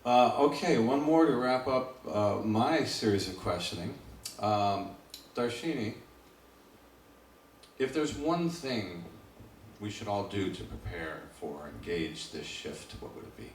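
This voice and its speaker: A man's voice: on the low side, a little slow. A man moderating a discussion panel, asking questions in a casual, hesitant way. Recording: echoey room, distant mic, faint noise.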